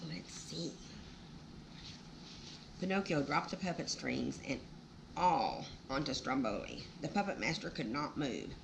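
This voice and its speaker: A woman's voice: extremely low pitch, big pitch swings, unhurried, gravelly, pauses often, background noise. A woman reading a children's storybook aloud, with folksy, exclaiming asides to the listener. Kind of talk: animated storybook read-aloud with chatty asides.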